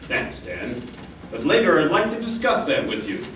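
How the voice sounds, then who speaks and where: A man's voice: on the high side, sing-song, normal speed, a bit bright. Man as fatherly cowboy hero, earnest, radio western, roomy sound, faint noise. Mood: earnest, solemn. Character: earnest, fatherly masked cowboy hero recounting his past. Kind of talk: old-time radio western acting. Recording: distant echoey live stage recording, faint noise.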